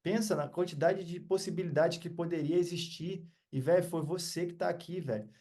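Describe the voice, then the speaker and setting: Brazilian guy on a podcast, bit high pitched, earnest and reflective, chatting motivational stuff. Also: a little fast, mellow. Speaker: podcast guest. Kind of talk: casual podcast conversation.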